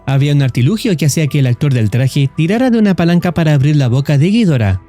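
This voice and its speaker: A man's voice: fairly high pitch, big pitch swings, quick pace, mellow. A man reading scripted narration as the narrator of a horror story recap video. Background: background music.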